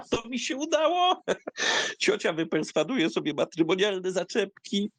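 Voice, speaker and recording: An actor playing a carefree, mocking young count in a dramatised audiobook, with an ironic, theatrical way of talking. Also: male voice, sits high, big pitch swings, slow pace, a bit bright, no echo, full character voice. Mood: amused, mocking.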